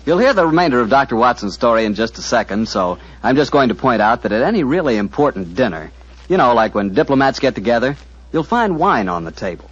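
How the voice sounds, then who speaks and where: A man announcing on a 1940s radio show, delivering a friendly, persuasive pitch in a husky voice. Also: big pitch swings, fast talker. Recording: muffled 1940s radio broadcast.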